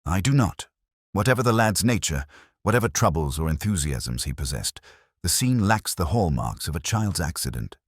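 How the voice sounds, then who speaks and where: A man performs a thoughtful police inspector from an audio mystery. He is very deep and formal, with plenty of rise and fall as he delivers dry, mild understatement. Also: big pitch swings, slightly rough, no room sound, full character voice. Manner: measured, formal, dryly understated.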